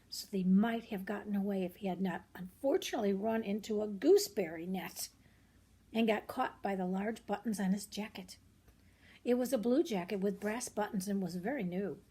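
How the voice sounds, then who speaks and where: An older woman reads a children's picture book aloud expressively, pausing to explain things simply to young listeners. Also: mid-range voice, gravelly, warm tone, husky. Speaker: storyteller grandmother reading aloud.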